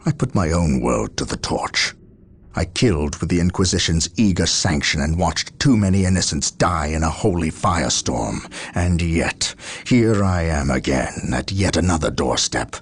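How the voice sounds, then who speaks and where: An actor playing a brooding, guilt-ridden space marine captain in a video game, speaking gravely and formally. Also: male voice, a bass voice, animated, moderate pace, heavily acted. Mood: anguished regret. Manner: grave, solemn, formal delivery. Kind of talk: dramatic video game cutscene acting.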